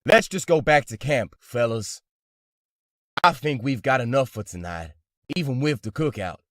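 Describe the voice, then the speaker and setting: A man's voice: mid-range voice, expressive, moderate pace, no echo, studio quality, lightly acted. An actor playing a weary, widowed old retired salesman, mild and plainspoken, in a comic dub. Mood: calm. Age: older. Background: loud background music.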